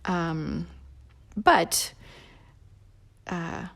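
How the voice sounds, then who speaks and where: A woman with a low, gravelly voice talks viewers through a drawing step by step. She rambles easily with plenty of fillers and slips into soft near-whispers as she concentrates. Her level drifts a little as she leans toward and away from the mic. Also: extremely slow. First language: not Portuguese. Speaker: drawing instructor. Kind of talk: live follow-along drawing tutorial.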